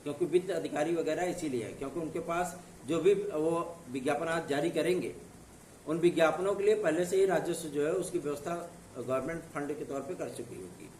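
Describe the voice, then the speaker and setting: Hoarse man, slightly high voice, earnest and reassuring, asks listeners if they follow, distant from the mic, echoey room, faint background noise. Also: brisk, a little mellow. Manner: earnest, reassuring, with repeated tag questions. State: hoarse from a sore throat. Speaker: exam news channel host. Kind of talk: casual direct-to-camera update.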